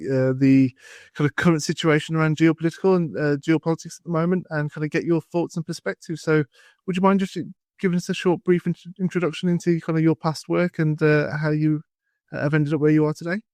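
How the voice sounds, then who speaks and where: A man's voice: fairly high pitch, darkish tone, no room sound, clean studio sound. A young man from England hosting a podcast, introducing his guest and asking loose, rambling questions full of fillers. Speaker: podcast host. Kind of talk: podcast interview.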